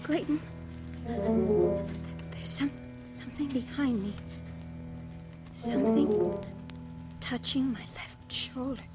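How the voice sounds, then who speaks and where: A woman's voice: sits high, lively intonation, normal speed. An actress playing a frightened, devoted young American woman in a 1950s radio drama. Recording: old radio broadcast under loud music.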